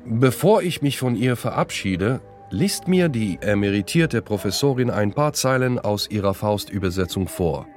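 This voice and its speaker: A man's voice: on the low side, animated, moderate pace, a little mellow. A man narrating a radio documentary in the first person. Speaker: narrator, theatre director. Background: music.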